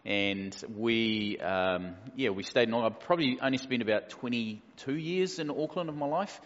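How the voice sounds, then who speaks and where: A man's voice: mid-range voice, normal speed, a bit bright. A man in his forties with a New Zealand accent, a pastor talking casually to his church, easygoing and joking with plenty of fillers. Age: 40s. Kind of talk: casual church welcome talk. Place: church hall. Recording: echoey hall, dull lo-fi sound.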